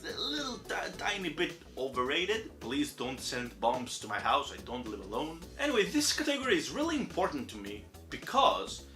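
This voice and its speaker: A man's voice: moderate pace, few pauses. A young man from Greece with a Greek accent, a native Greek speaker, chatting casually and self-deprecatingly about game award nominees as a channel host. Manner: chatty and self-deprecating, animated swings in pitch. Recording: roomy echo with faint music behind.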